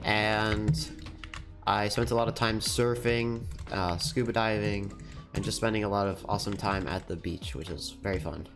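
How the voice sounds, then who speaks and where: A man's voice: fairly low pitch, fairly even intonation. A teenage boy with a stuffy nose from a cold, chatting casually and cheerfully while commentating his gameplay at a desk microphone. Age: teens. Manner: chatty, upbeat, quick laughs. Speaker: gaming YouTuber. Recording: music playing under the voice. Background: background music and keyboard typing.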